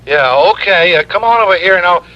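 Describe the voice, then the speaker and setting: A man's voice: high voice, animated, a little fast, a little hiss or hum. A man acting an exasperated, slangy insurance company man in an old radio detective drama, griping emphatically. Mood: urgent. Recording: muffled vintage radio recording.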